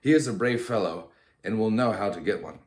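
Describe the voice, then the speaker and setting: A man's voice: lowish voice, brisk, darkish tone, lightly acted. A man voicing a cheeky, outspoken young boy in a storybook, with a blunt, eager manner.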